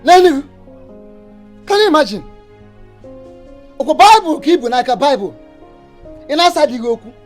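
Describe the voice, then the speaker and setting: An actor playing a harsh, threatening father who shouts and mixes in Igbo words. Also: extremely high pitch, big pitch swings, moderate pace, pauses often, soft background music. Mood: furious.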